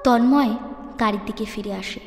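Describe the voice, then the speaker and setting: A woman storyteller narrating a ghost story dramatically, with deliberate, suspenseful phrasing and pauses. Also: on the high side. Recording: echoey long reverb, music underneath.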